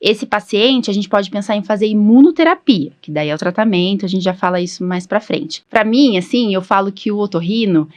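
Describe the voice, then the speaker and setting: A woman with a Brazilian accent talks as a doctor and podcast host. She explains in an informal, conversational way, often seeking agreement as she goes. Her pitch sits in an average range for a woman. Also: animated, brisk, hardly any pauses, a little mellow.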